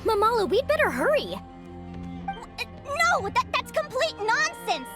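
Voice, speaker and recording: Feisty high-pitched competitive kid. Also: female voice, wildly swooping pitch, measured pace, loud music behind the voice, heavily acted. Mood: determined, indignant. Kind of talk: video game voice acting.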